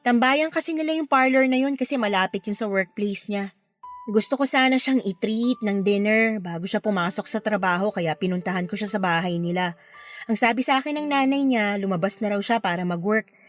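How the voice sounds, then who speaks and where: A woman's voice: mid-range voice, brisk, soft background music, close to an everyday voice. A woman with a Filipino accent playing a hurt, plaintive young woman confiding about a betrayal in an audio drama. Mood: aggrieved recounting.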